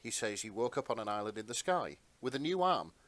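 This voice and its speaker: A man's voice: medium pitch, very animated, a little fast, a bit bright. A man narrating a story in a dramatic reading style for an amateur fan fiction performance. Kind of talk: dramatic narration and casual banter.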